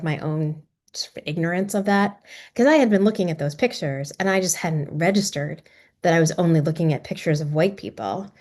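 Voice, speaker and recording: A middle-aged filmmaker woman talks through her story, reflective and halting, with lots of pauses and restarts. Her voice sits a little low for a woman. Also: a little mellow. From Maryland, US. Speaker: documentary filmmaker. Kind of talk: casual talk about her film.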